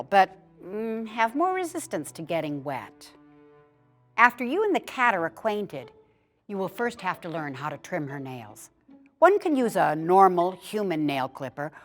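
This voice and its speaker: A woman actor giving an expressive dramatic reading of a humorous instructional essay, like a storyteller. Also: lowish voice. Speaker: actor reading a written piece aloud.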